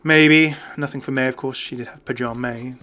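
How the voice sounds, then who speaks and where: Bright-voiced male gaming YouTuber, chatty and rambling. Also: medium pitch, fairly even intonation, a little fast. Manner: chatty, rambling, thinking aloud. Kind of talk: casual off-the-cuff game commentary.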